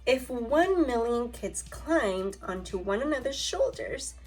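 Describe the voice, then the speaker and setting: American woman, primary teacher on a read-aloud. Highish voice, unhurried delivery, expressive, music underneath. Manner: animated, expressive, addressing pupils directly. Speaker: elementary school teacher. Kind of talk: read-aloud lesson for young children.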